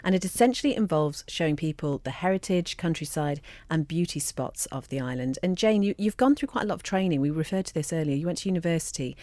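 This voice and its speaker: Female talk radio host, quick delivery, mellow lowish tone, conversational, studio setting. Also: animated, no room sound. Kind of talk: live talk radio presenting.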